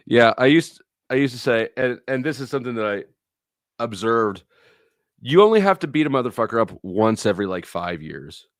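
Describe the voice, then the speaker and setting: A man's voice: mid-range voice, sing-song, moderate pace, no room sound, clean studio sound. A middle-aged man hosting a livestream, chatting casually with viewers in a rambling, blunt way with some swearing. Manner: rambling, blunt, profane, anecdotal. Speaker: livestream host.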